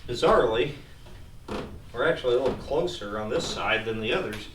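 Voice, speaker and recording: A man's voice: medium pitch, expressive, fairly quick. A man giving casual, hands-on how-to narration in a wry, self-deprecating, folksy way while working on a car. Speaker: DIY car repair video host. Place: garage workshop. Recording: echoey, distant mic, clearly noisy.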